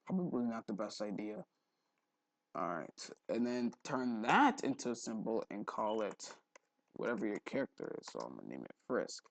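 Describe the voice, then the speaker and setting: Low-voiced, gravelly young man rambling through tutorial. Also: very animated, normal speed, dry recording. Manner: rambling, thinking aloud, frequent throat clearing. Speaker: tutorial host. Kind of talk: casual screen-recorded software tutorial. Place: indoors at a computer, voice-over for screen recording.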